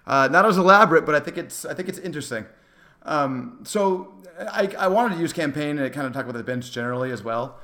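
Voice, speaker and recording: Higher-pitched man in casual sports chat. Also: animated, fast, pushed and bright. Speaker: sports podcast co-host.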